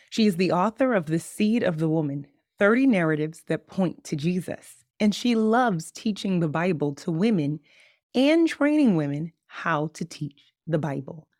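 A woman's voice: fairly low pitch, no echo, clean studio sound. A woman hosting a faith podcast, chatting in an effusive way and often saying y'all. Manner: warm, effusive, says y'all a lot. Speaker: podcast host. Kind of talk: casual podcast chat.

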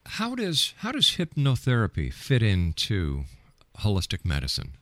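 A man's voice: lowish voice, wildly swooping pitch, unhurried, fairly bright tone, no echo. A man hosting a radio interview, asking skeptical, probing questions and often repeating his opening words. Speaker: radio show host, interviewer.

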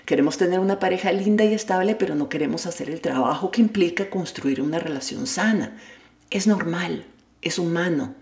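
Low-voiced woman coaching in an echoey room. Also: a little fast, creaky, a little mellow. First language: Spanish. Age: adult with a child at home. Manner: candid, self-deprecating, addresses the listener directly. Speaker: life coach and podcast host. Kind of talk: motivational monologue.

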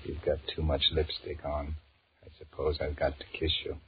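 A man's voice: extremely low pitch, monotone, normal speed, breathy edge, dry recording, heavily acted. An actor in a 1940s radio drama playing a weary, restless married businessman who grumbles and wheedles as he flirts with his secretary. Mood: flirtatious, grumbling. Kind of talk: 1940s radio drama acting, inner monologue and dialogue. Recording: muffled, hissy 1940s radio recording.

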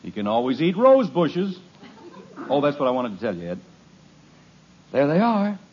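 A man's voice: a little high, wildly swooping pitch, normal speed, a little hiss or hum. An actor playing a harried suburban father who is sarcastic and exasperated, in 1950s radio comedy. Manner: exasperated, wry. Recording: muffled old radio broadcast.

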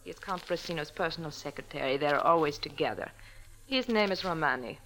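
A woman's voice: sits low, lively intonation, brisk, fairly bright tone. A woman playing a proud, anxious cafe dancer with a formal, pleading manner in a 1940s radio drama. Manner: formal, pleading, haughty when crossed. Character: proud, anxious young female cafe dancer seeking help. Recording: noisy old radio recording with clicks.

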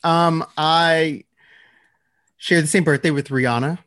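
A man's voice: fairly high pitch, steady tone, measured pace, a bit bright. A man hosting a podcast in casual banter, with a playful, teasing manner. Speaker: podcast host.

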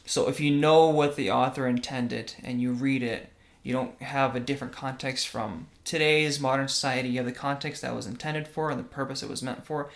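A man's voice: mid-range voice, steady tone, fairly quick, gravelly, few pauses. Young male podcast co-host, earnest, keeps asking "right?", born in Russia. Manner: earnest, tag questions like "right?". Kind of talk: casual religious podcast talk.

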